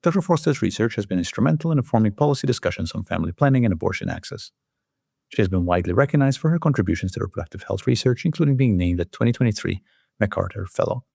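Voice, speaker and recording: A man hosting a formal interview, speaking in measured phrases as he delivers scripted introductions and questions. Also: on the low side, lively intonation, gravelly, warm tone, no echo, clean studio sound. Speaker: host and interviewer, journal editor.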